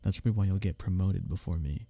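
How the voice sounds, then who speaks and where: Man acting a low, husky, smitten office rival. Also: no echo. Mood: earnest, admiring. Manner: expressive, halting confessions with soft chuckles and sighs. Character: male office rival, teasing, earnest, secretly smitten. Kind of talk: ASMR roleplay audio drama, spoken intimately to the listener.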